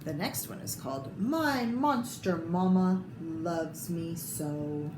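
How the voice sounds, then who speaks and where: An American woman reads a children's picture book at a slow, expressive pace, pausing to point out pictures. Her voice sits a little low. The room is echoey, with clear noise behind her. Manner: expressive, unhurried, pointing out pictures to young listeners. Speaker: storyteller reading picture books to children. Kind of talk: read-aloud picture book narration with asides to listeners.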